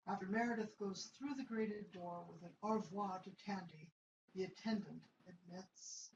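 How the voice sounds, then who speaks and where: A woman's voice: mid-range voice, normal speed. A woman reading scripted narration for a play as its narrator. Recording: roomy, muffled, noisy recording.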